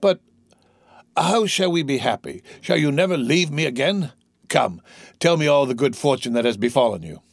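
A frail old father, acted out by a male narrator in a classic storybook reading. He is tender and humble, speaking in a gentle, halting way. The sound is dry and close. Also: mid-range voice, animated, dry recording, pitched above the performer's own voice. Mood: joyful, tender. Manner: gentle, halting, self-effacing. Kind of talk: dramatised audiobook reading of a classic novel.

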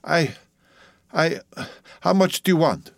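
A man's voice: mid-range voice, fairly quick, dry recording, studio quality, pitched above the performer's own voice, natural voice. A man voicing a poor, frantic immigrant husband who pleads breathlessly and stammers in his agitation. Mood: anxious, stammering.

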